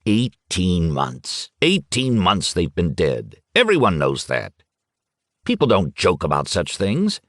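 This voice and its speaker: A man's voice: deep voice, wildly swooping pitch, fairly bright tone, no echo, clean studio sound, exaggerated voice. A man doing a scornful, hot-tempered husband. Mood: angry. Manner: impatient, sneering and quick to anger. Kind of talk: audiobook character voice.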